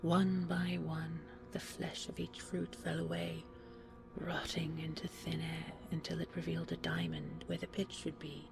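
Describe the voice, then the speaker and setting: A woman tells a fairy tale as a sleep aid. Her voice is soft and breathy with very heavy vocal fry, moving slowly and soothingly over quiet music. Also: low-pitched. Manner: unhurried, soothing bedtime delivery. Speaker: storyteller.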